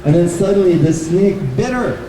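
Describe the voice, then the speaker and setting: A man telling a children's story live to an audience, in simple, deliberate phrases. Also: high voice, expressive, warm tone. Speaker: puppet show storyteller and presenter. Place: indoor bookstore event. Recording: very echoey, noisy hall, distant microphone.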